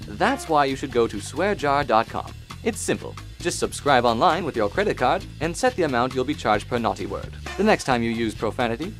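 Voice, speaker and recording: An actor playing a slick, assured advertising announcer with a salesy delivery in a parody radio commercial. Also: male voice, close to an everyday voice. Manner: salesy, polished pitch.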